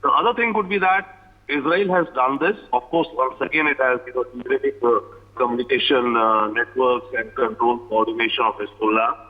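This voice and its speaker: A man's voice: medium pitch, normal speed. A man with an Indian accent giving live news analysis as an expert, explaining point by point. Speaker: international affairs expert. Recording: thin, phone-like remote line.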